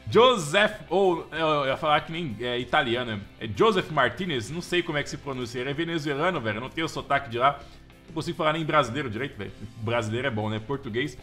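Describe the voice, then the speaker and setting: Excitable, bright-voiced Brazilian man gaming. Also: medium pitch, fast, faint music behind. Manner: slangy, chatty, keeps saying "mano" and "velho"; gets loud and excited over the crowd. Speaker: gameplay commentator. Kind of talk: casual let's-play commentary.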